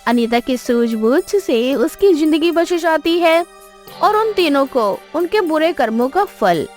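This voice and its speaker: High, animated female story narrator. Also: measured pace, faint music behind. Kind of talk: scripted dramatic story narration.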